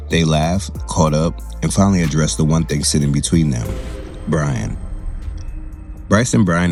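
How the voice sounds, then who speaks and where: Super deep guy narrating a drama story, measured short phrases, music underneath. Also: darkish tone. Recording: music behind the voice.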